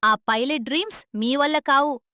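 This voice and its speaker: A woman's voice: high voice, normal speed, bright, forceful tone, no echo, studio quality. A woman voicing a sarcastic village woman who jeers at a poor family. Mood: jeering. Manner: jeering, sarcastic.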